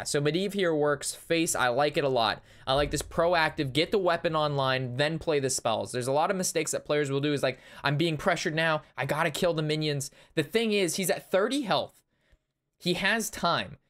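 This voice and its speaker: A young man casting and reviewing a card game match, excitable and analytical, his voice strained from talking a long time. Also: a little high. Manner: excitable, analytical, talks through plays. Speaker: Hearthstone caster reviewing a match. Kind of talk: casual game commentary.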